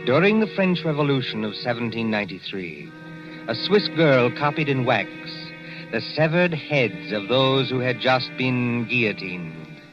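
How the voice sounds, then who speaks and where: A man narrating a 1940s radio suspense drama in a measured, dramatic way. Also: mid-range voice, sing-song. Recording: dull old 1940s radio broadcast with music.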